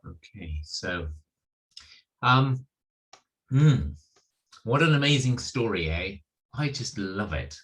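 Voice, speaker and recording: Low-voiced, slow man giving an informal sermon. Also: sing-song, fairly bright tone. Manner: hesitant, halting phrasing with repeated words. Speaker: preacher.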